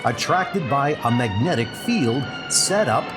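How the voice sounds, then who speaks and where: A man's voice: mid-range voice, animated, a little slow, loud music behind the voice. A man reading dramatic scripted narration for a comic book story.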